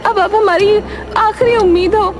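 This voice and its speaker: Very high-pitched, distressed village mother. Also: steady tone, normal speed. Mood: pleading. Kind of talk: cartoon story voice acting. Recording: loud music and crowd behind.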